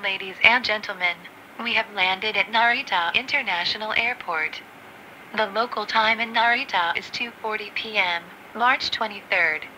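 A woman's voice: mid-range voice, slow pace, pushed and bright, low-quality recording. A woman making a scripted, formal inflight announcement as a flight attendant over the cabin PA. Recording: cabin PA with faint noise. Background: cabin noise.

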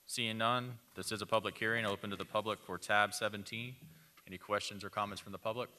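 A man presides over a city council meeting from a desk mic in the chamber. His voice is lowish yet very bright and forward. He moves through motions and hearings in brisk, practiced phrasing. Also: steady tone. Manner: brisk, routine procedural phrasing. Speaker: mayor chairing a council meeting. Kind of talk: formal meeting procedure. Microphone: desk microphone.

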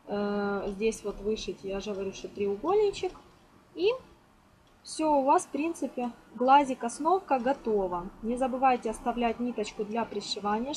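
A woman informally explaining a hands-on craft step by step, as a tutorial host. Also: fairly high pitch. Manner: fond of diminutives, explains step by step. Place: indoors at a table. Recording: noisy amateur home recording.

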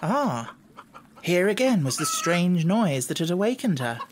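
A man's voice: high-pitched, big pitch swings, unhurried, heavy background noise. A man reading scripted storybook narration as an audiobook narrator.

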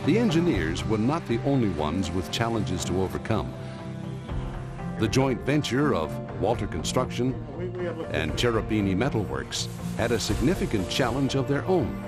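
A man reading scripted documentary narration in a measured, explanatory way, recorded as a studio voice-over. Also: on the low side, sing-song, loud music behind the voice.

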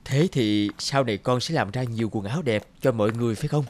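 A man playing a humble, middle-aged country father in a cartoon, speaking gently and haltingly. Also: medium pitch, a little hiss or hum, lightly acted. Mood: fond, gentle. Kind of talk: cartoon dialogue acting.

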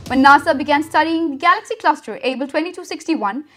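A young woman reads the news at a quick clip in a high, light voice. Her delivery is brisk and even, like a student anchor working through a script, with soft music playing underneath.

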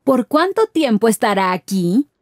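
A woman playing a friendly stranger in a scripted language lesson, pronouncing each phrase deliberately. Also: a little high, very animated, darkish tone, no echo, studio quality. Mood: friendly, curious. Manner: deliberate, carefully enunciated. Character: friendly Peruvian woman chatting with a tourist. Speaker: voice actor in language lesson dialogues. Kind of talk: scripted learner dialogue, each phrase said slowly then at normal speed.

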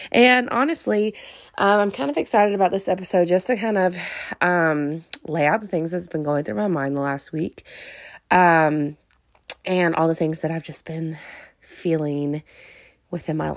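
A young woman with a slightly low voice talks alone into a close mic for her podcast, sniffly from a cold. She rambles openly and confessionally, full of likes and ums. The sound is dry, with no room around her. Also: dry recording. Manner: rambling, open and confessional, many fillers. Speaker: podcast host. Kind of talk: casual solo podcast monologue.

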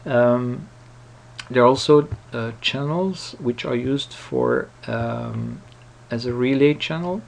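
Man, tutorial presenter, hesitant, unhurried pace, vocal fry. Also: medium pitch. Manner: hesitant, halting explanation. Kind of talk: casual unscripted screen-recording walkthrough.

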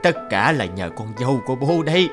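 An actor playing a busy, earnest husband and devoted son in a cartoon drama, speaking plainly. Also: male voice, mid-range voice, big pitch swings, brisk, music in the background, close to an everyday voice. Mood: grateful.

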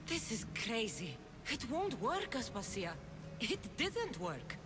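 A young female mercenary from an ancient Greek video game. She is direct and sceptical, with a lively, wide-ranging pitch and a guarded edge. Music is clearly heard behind the dialogue. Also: slow pace, full character voice. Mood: indignant. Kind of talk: dramatic video game cutscene acting.

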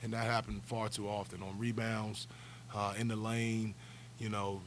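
Earnest basketball coach at a press conference. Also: male voice, on the low side, level tone, normal speed, a little hiss or hum. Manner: earnest, repeats words when restarting. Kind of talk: postgame press conference answers. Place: press room, seated at a table before a backdrop. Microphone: table stand mic.

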